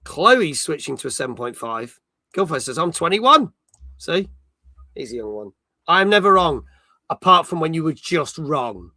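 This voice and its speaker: A man's voice: fairly high pitch, very animated, normal speed, pushed and bright, dry recording. A British man in his forties hosting a gaming live stream, chatting casually and reading out viewer comments. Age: 40s.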